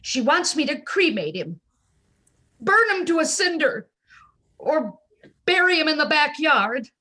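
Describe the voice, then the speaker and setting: Woman playing stubborn mother, bright and forceful, unhurried, indignant, exclamatory, live play reading. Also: high-pitched, big pitch swings, heavily acted. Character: stubborn, defensive mother of a grown son, knitting cat lover. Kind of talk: live play reading over video call. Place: indoors, on a video call.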